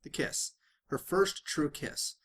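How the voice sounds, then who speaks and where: A man's voice: fairly high pitch, takes it slowly, fairly bright tone. A man reading fan fiction aloud as a dramatic narrator, sometimes stumbling and rereading phrases.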